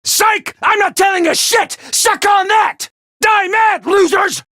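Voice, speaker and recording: A man's voice: very high voice, takes it slowly, pushed and bright, no echo, raised voice, studio quality, heavily acted. A man voicing a manic, mischievous male mascot commander in a game, talking in a hyper, theatrical and gleeful way. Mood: taunting. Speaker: game character voice lines. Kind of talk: game voice acting.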